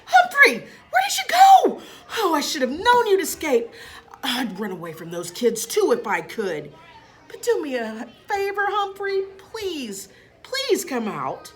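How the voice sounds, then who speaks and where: A woman's voice: sits high, huge pitch swings, unhurried, voice pushed up, full character voice. A woman voicing a flustered middle-aged father, a school principal who pleads and gives firm orders in a storybook reading. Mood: frantic, pleading.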